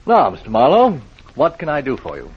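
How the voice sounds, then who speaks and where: A man's voice: mid-range voice, huge pitch swings, fairly quick, slightly rough. A man playing a polite, evasive middle-aged dress designer with an American accent, in 1940s radio acting style. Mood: guarded. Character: guarded, polite male dress designer, forty-five. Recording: dull vintage radio recording.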